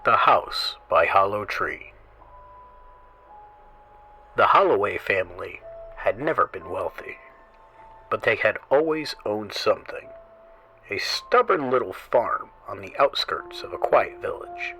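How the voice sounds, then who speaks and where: A man hosting a horror story show, reading scripted tales in a slow, theatrical voice that often drops into hushed whispers. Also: fairly low pitch, lively intonation, creaky, breathy edge, faint music behind, low-quality recording. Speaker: horror show host and narrator.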